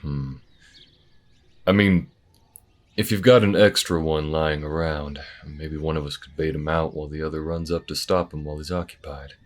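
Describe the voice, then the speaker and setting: A man playing a laconic, tactical alien bounty hunter in an audio roleplay, with a low gruff voice and a measured, folksy way of thinking aloud. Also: steady tone. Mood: low-key, practical.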